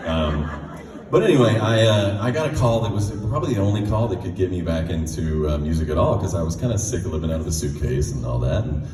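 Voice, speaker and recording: A middle-aged American man with a very deep voice, telling stories casually as a singer-songwriter at a live event. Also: lively intonation, quick pace. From United States, Texas. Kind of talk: casual stage storytelling. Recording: echoey hall, distant mic, background noise.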